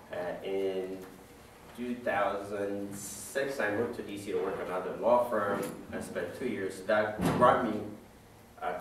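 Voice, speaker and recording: A man's voice: deep voice, slight background noise. A middle-aged man from Ethiopia giving a guest talk to a class, conversational and full of fillers and restarts. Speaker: guest lecturer. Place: large dim lecture room. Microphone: far camera. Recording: echoey room from far off.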